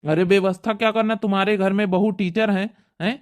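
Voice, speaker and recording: A man playing a brisk, insistent village doctor in a cartoon comedy, talking matter-of-factly. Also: high voice, steady tone, natural voice.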